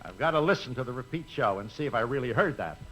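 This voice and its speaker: A man's voice: medium pitch, expressive, quick pace, lightly acted. Man playing a blunt studio boss. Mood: exasperated, dry. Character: blunt, exasperated male movie studio boss. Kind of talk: 1950s radio comedy acting. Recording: noisy 1950s radio recording.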